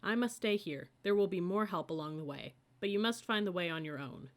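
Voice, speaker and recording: A woman voicing a kindly, wise male ninja master in a storybook, measured and solemn. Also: on the low side, expressive, lightly acted. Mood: calm, solemn.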